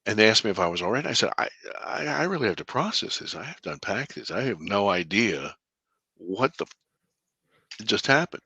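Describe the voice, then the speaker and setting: Deep-voiced man telling his story earnestly. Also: slightly rough, no room sound. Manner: earnest, halting with restarts, bursts of excitement. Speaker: filmmaker, interview guest. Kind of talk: conversational storytelling.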